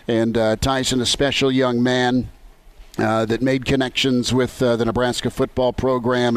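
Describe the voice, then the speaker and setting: American male sports radio host, conversational delivery, medium pitch, on location. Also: smooth, no room sound. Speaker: sports talk show host. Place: on location at a golf tournament.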